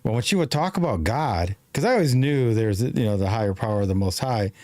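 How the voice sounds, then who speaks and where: A man in his fifties hosting a live talk show, talking in a rambling, halting way with many restarts. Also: on the low side, animated, darkish tone, dry recording. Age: 50s. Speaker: show host. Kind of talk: casual live-stream conversation.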